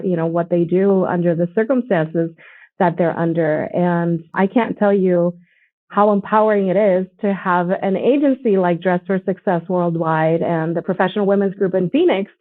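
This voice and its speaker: A woman's voice: on the low side, fairly even intonation, normal speed. Earnest woman over a tinny line. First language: not English. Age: 40s. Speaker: nonprofit ambassador. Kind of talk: conversational discussion.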